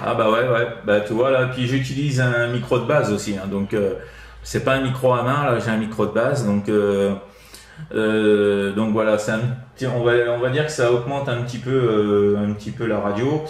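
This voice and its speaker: A man's voice: fairly low pitch, steady tone, normal speed, roomy sound. A man chatting casually as a radio operator, hesitant and repetitive, often tagging his phrases with small filler words.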